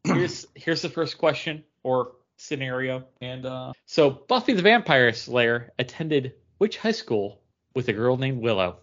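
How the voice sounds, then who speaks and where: A man's voice: medium pitch, lively intonation, fairly bright tone. Dull-sounding man hosting casual trivia. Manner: halting, stumbles over words. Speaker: podcast co-host running a trivia game. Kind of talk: casual banter, quiz hosting.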